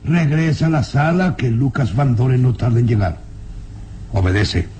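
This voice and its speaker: A man's voice: medium pitch, moderate pace, dark tone, close to an everyday voice. Man acting a haughty, imperious baron, noisy recording. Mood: stern, scornful. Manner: imperious, commanding. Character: haughty, hot-tempered baron and stern father. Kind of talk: old radio drama acting. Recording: noisy old radio serial recording.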